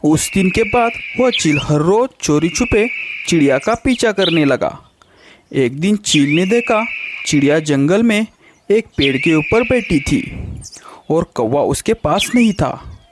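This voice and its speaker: A man's voice: fairly high pitch, expressive, unhurried, background noise. A man narrating a children's bird story in a scripted storytelling style.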